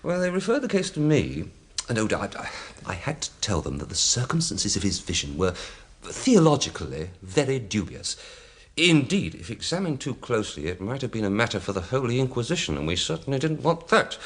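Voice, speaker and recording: An actor playing a timid, apologetic parish priest in a radio play, hesitant and rambling, easily flustered. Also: male voice, medium pitch, very animated, a little fast. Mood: confiding. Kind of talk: radio drama acting.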